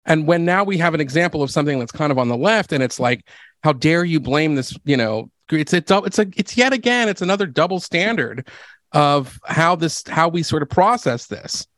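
An American man with a fairly high voice speaks as a podcast panelist on politics. He argues his points in stop-start, conversational phrasing. The recording is dry and free of echo. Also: lively intonation, normal speed, a bit bright.

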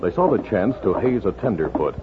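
A man's voice: fairly low pitch, big pitch swings, brisk, background noise. An American man reading dramatic scripted narration for a 1950s radio western. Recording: muffled 1950s radio, music behind.

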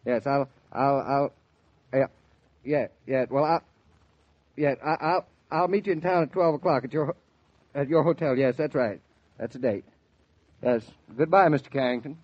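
Young American husband for 1940s radio play man acting, medium pitch, conversational, patient with a touch of exasperation. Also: moderate pace, pauses often, lightly acted. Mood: polite, flustered. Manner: explanatory, hesitant, conversational. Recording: dull, lo-fi 1940s radio recording.

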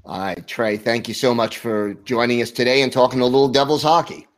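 A man hosting a sports podcast, asking questions in a brisk, friendly way and reading sponsor copy smoothly. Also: mid-range voice, steady tone. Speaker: podcast host. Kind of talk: podcast interview and ad read.

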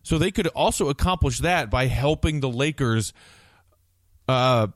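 A man's voice: mid-range voice, lively intonation, measured pace, dry recording. An American man co-hosting a sports podcast, giving blunt, emphatic opinions on basketball in a conversational way.

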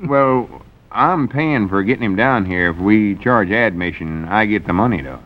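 A man's voice: on the low side, animated, normal speed, natural voice. An actor playing a gullible, good-hearted backwoods man with a rural Southern US accent and a folksy, trusting drawl in 1940s radio comedy. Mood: hesitant, honest. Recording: dull vintage radio broadcast recording.

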